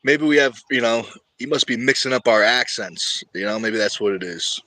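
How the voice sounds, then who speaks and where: A man's voice: mid-range voice, moderate pace, sharp tone, dry recording, poor audio. An easygoing man hosting a casual livestream and chatting with viewers about his sport. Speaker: livestream host. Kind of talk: casual livestream chat about arm wrestling.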